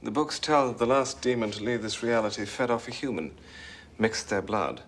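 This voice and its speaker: An actor playing a reserved, bookish school librarian in a TV drama, formal, wordy and hesitant. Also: male voice, mid-range voice, moderate pace, natural voice. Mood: explanatory. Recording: TV clip with loud background noise.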